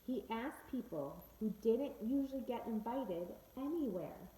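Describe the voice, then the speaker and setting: A woman reading a story aloud to children in a teacherly manner, pausing to prompt them with simple questions. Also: a little high, animated, mellow. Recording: echoey room with faint background noise.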